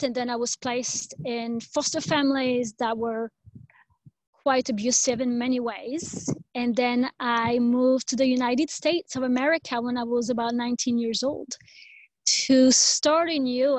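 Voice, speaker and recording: A woman from France, a native French speaker, giving a personal talk as a guest, reflective and pausing between short phrases. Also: sits high, no echo, low-quality recording. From Normandy, France. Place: online video conference.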